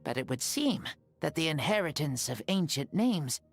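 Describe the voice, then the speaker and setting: A man's voice: on the high side, big pitch swings, moderate pace, vocal fry, soft background music, exaggerated voice. Game role: ancient warrior in a boy's voice, proud, good-humoured, faint score behind. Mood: proud, earnest. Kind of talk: game cutscene voice acting.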